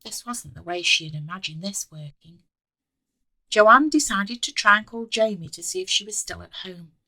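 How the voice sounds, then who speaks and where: A woman author reading her own story aloud in an expressive scripted narration. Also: medium pitch, moderate pace, no room sound, poor audio. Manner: expressive, acts out the dialogue.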